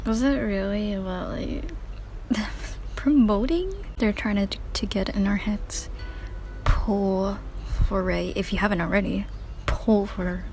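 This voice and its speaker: A woman's voice: mid-range voice, slow, a little mellow, rough recording. A woman streamer giving casual, slangy, exclamatory reactions in short fragments while playing a video game. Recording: game music behind.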